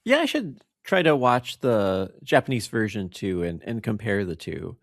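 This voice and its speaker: A man co-hosting a podcast, talking casually in a tactful, measured way as he searches for his words. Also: on the low side, big pitch swings, vocal fry, no room sound, studio quality. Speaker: podcast co-host.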